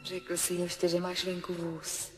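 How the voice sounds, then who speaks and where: A woman's voice: lowish voice, monotone, fairly bright tone, lightly acted. An actress playing a wistful young woman in love, speaking intimately and insistently to her man in a 1970s radio drama. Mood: wistful, dreamy. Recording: music under the voice.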